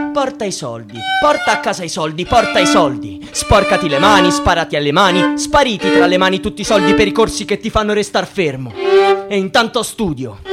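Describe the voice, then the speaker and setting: An actor playing a frustrated, sardonic young student in a rhythmic, declamatory radio-drama monologue that moves between muttering and cries. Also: male voice, high-pitched. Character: frustrated, bitterly sardonic young male student railing at the system. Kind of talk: theatrical spoken-word monologue with wordplay and repetition. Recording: loud string music behind the voice. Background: bowed string music.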